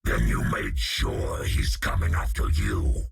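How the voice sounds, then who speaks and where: A man's voice: a bass voice, sing-song, takes it slowly, creaky, breathy edge, full character voice. An actor voicing a menacing male alien monster in a video game, with a guttural growl and terse, threatening delivery.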